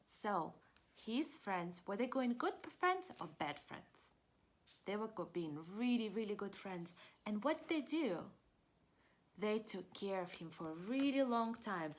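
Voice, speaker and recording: A woman preschool teacher reads a picture book aloud at a slow pace, with a medium-pitched voice. Her delivery is expressive and pitched at small children, using simple words and guiding questions. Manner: expressive, simple child-directed speech, asks questions and answers them. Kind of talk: children's storybook read-aloud.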